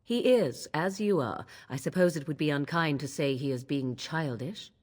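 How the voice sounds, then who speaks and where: Young witch from a game, really low voice, aloof n dry, talks all old-timey and formal. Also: lively intonation, moderate pace, darkish tone. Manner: dry, formal archaic phrasing. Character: cool, aloof young witch, curt and disdainful. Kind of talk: video game voice acting.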